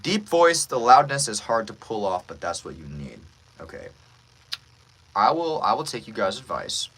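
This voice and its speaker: A man's voice: lowish voice, sing-song, normal speed, a bit bright, slightly rough. A man who works as a voice actor, hosting a casual livestream and politely answering viewer comments with self-deprecating asides.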